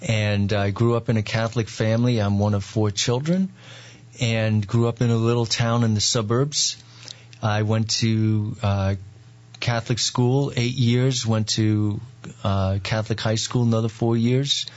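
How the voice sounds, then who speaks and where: Low-voiced New York priest, halting. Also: male voice, steady tone, dull audio. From Long Island, New York. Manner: halting, many "um" and "uh" pauses. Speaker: priest, guest. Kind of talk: interview, relaxed storytelling.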